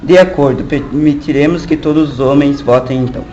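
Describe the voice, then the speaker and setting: A man's voice: a little high, fairly even intonation, a little fast, dark tone, highs cut off, a little hiss or hum, natural voice. A man voicing a compliant politician who speaks formally and agreeably.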